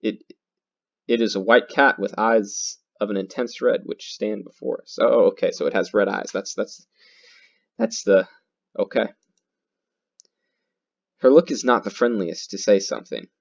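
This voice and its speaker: A man reading horror game text aloud in a theatrical, halting way, repeating words and adding his own comments. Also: fairly low pitch, vocal fry. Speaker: gaming video host. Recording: dull lo-fi sound with loud music. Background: loud guitar music.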